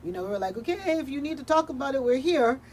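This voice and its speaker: A woman's voice: high-pitched, expressive, racing, a little mellow. Earnest woman talking over background noise. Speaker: radio drama producer, studio guest. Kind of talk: earnest conversational discussion. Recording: noticeable background noise, room ambience.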